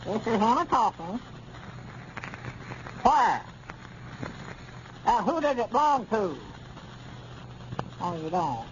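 An actor playing a folksy, fretful country storekeeper and constable with a rural Southern American accent in 1930s radio comedy. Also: male voice, sits high, big pitch swings, slow, long pauses, exaggerated voice. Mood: obliging. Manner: homespun, questioning. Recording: muffled, noisy 1930s radio recording.

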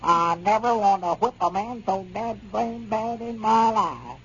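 An actor playing a touchy, gullible old country storekeeper with a folksy rural Arkansas drawl in a 1930s radio comedy. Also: male voice, high voice, animated, unhurried, a bit bright, faint music behind. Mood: sulky, aggrieved. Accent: rural Arkansas, Southern US. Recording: muffled, hissy 1930s radio recording.

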